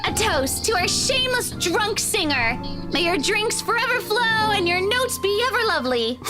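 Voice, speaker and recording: A woman's voice: extremely high pitch, sing-song, moderate pace. An actress playing a teasing, world-weary divorced woman friend in a visual novel, with music behind her. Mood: playful, celebratory.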